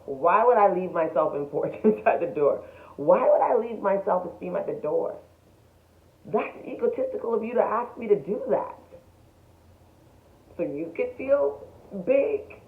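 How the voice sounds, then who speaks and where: A woman talking casually and emphatically to viewers in a self-development vlog, often posing rhetorical questions. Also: lowish voice. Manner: emphatic, animated, rhetorical questions, frequent chuckles. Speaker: vlogger. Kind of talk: casual spiritual self-development talk to camera. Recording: roomy echo, thin phone-like sound.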